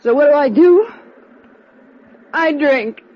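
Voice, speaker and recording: An actress playing a bitter, heartbroken drinking woman in a halting, dramatic 1950s radio style. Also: sits high. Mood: despairing. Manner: wistful, dramatic, halting delivery. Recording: dull 1950s radio recording.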